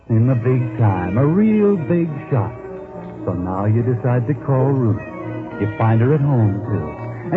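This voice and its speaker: A man's voice: mid-range voice, close to an everyday voice. Man as a sardonic radio mystery narrator, swooping intonation. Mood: sardonic, taunting. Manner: sardonic, dramatic, addressing the character directly. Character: knowing, taunting male storyteller haunting a crime tale. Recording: thin, phone-like vintage 1940s radio recording.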